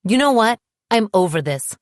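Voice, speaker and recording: A woman's voice: lowish voice, animated, moderate pace, no echo, studio quality, lightly acted. A woman voicing a wounded, indignant young woman in an audiobook, with an emphatic, emotionally charged delivery. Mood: bitter, furious.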